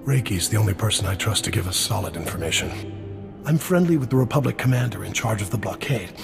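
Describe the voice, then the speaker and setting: A man voicing a suave, wry, shady dealer down on his luck in a video game, with a theatrical, smooth-talking manner. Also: lowish voice, animated, brisk. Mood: urgent, businesslike. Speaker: game character actor. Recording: long reverb, music behind. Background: game music.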